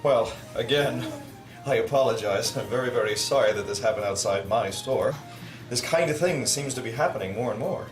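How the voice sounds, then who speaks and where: A man's voice: mid-range voice, a little fast, soft background music, lightly acted. Man as a store manager, apologetic, resigned, distant, roomy echo. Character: apologetic middle-aged male store manager.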